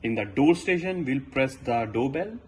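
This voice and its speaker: A male technician walks through a how-to demonstration one step at a time in a mid-pitched voice. His phrasing is plain and a little halting. There is clear background noise and room ambience behind him. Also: lively intonation. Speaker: technician presenting a tutorial.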